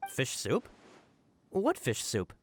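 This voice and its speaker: Frustrated video game informant, earnest, emphatic, really swoopy pitch. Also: male voice, huge pitch swings, slow pace, soft background music. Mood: incredulous. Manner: emphatic, incredulous. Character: earnest, exasperated male informant reporting to his boss. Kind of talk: scripted video game voice acting.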